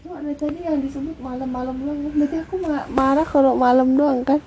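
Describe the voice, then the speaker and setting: A woman's voice: high voice, fairly even intonation, fast, mellow. A woman co-hosting a casual couple podcast with her husband, answering him with teasing, dry retorts. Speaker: podcast co-host, wife.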